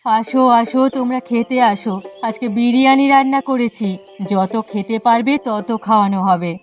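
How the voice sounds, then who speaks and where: A woman's voice: fairly high pitch, unhurried, soft background music, close to an everyday voice. A woman voicing an aggrieved village wife who runs a food stall in a cartoon, plaintive and exasperated. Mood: welcoming. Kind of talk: cartoon dialogue acting.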